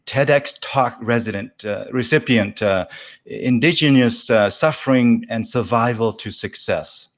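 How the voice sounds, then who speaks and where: A man hosting an interview show, speaking in a halting, measured way as he addresses his guest. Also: mid-range voice. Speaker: talk show host.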